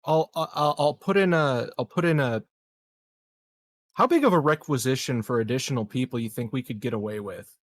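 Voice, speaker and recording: A man playing a tabletop game, planning casually in a tentative, halting way with repeated words. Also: medium pitch, animated, no room sound.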